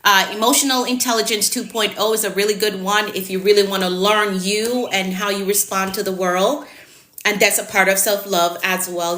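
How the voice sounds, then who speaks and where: A woman's voice: mid-range voice, sharp tone, echoey room. A woman in her late thirties with a Jamaican accent, talking casually and directly as a coach on a live stream. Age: 30s. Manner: chatty, direct, teacherly. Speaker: productivity coach.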